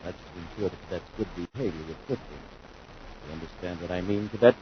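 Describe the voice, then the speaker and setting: A man's voice: low voice, fast, close to an everyday voice. Man acting a domineering radio villain, muffled old recording. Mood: menacing. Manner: curt, domineering. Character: cunning commanding criminal mastermind scientist. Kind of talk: 1950s radio serial acting. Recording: noisy, dull 1950s radio recording.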